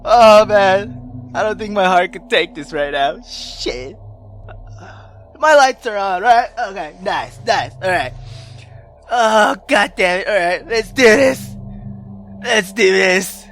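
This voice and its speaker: A man hosting a let's play, reacting loudly and excitably in casual slang with plenty of swearing. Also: sits high, takes it slowly, long pauses, soft background music. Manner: loud, swearing, slangy, startled exclamations.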